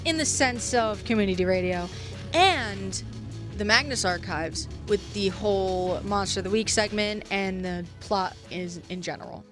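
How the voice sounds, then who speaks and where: A woman presenting a scripted video essay with casual asides and dramatic emphasis on key phrases. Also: medium pitch. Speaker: video essayist reviewing fiction podcasts. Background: background music.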